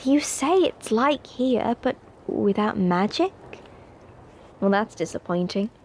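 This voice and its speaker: A woman's voice: fairly high pitch, expressive, takes it slowly, slight background noise. A woman playing a haughty, prim upper-class schoolgirl at a wizard academy in an ASMR roleplay, snooty, scolding and teasing. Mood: curious, condescending. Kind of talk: ASMR roleplay addressed to the listener.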